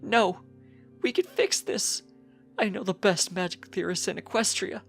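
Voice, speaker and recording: Man acting an anxious mother pony, raised pitch. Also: unhurried, creaky, a bit bright, soft background music, exaggerated voice. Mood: desperate, pleading. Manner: emotional, pleading. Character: anxious, devoted mother pony, a scholarly mare.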